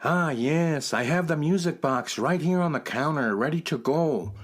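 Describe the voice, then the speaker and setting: A man's voice: a little high, animated, normal speed, faint music behind. A man playing a courteous, talkative gallery keeper in a children's radio drama, eagerly and politely explaining things. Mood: helpful, proud. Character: courteous male music box gallery keeper, enthusiastic and talkative.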